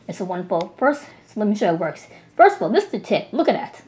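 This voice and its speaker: A woman's voice: medium pitch, big pitch swings, quick pace, warm tone. Enthusiastic woman with a slight cold. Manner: enthusiastic, gushing, chatty with restarts. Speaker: art supply reviewer. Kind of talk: casual product demo. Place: small indoor room at home.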